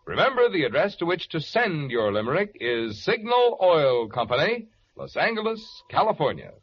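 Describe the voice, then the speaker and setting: A man's voice: fairly high pitch, very animated, normal speed. A man announcing for a sponsor in a 1940s radio advertisement, with jovial, polished salesmanship. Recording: dull, faintly noisy vintage radio recording.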